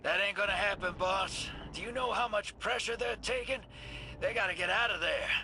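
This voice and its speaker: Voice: medium pitch, normal speed, sharp tone, a little hiss or hum, poor audio. An actor playing a breezy, cocky rogue in a video game, with a folksy, slangy, playful way of talking. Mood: firm, insistent. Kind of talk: casual video game character acting.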